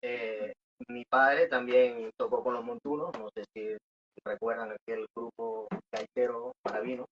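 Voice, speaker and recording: A man's voice: medium pitch, level tone, measured pace. A man with a Venezuelan Maracucho accent, a band singer casually answering questions in a radio interview. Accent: Venezuelan Maracucho Spanish. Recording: dull, muffled radio audio.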